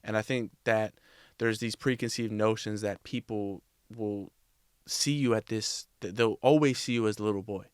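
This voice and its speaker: A man's voice: on the low side, moderate pace, clear, resonant tone, no room sound, clean studio sound. A man in his twenties, a podcast guest, talking in a candid, reflective way in a casual interview conversation. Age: 20s. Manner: reflective, candid, speaks in a steady even tone.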